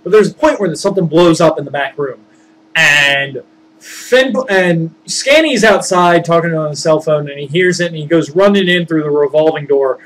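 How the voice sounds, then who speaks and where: A man casually recounting his tabletop games as game master, rambling and informal, animated when he acts out what people said. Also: a little high, normal speed. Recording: noisy background.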